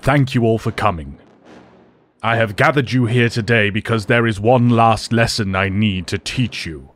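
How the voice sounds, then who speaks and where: Man voicing sinister possessed professor, measured, falsely polite, bright forceful tone. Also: lowish voice, slight background noise, lightly acted. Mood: calm.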